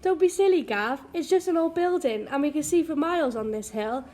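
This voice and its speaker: A woman's voice: high-pitched, lively intonation, fairly quick, a little mellow. A woman playing a sensible, bossy young sister in an amateur radio drama. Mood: scolding, brisk.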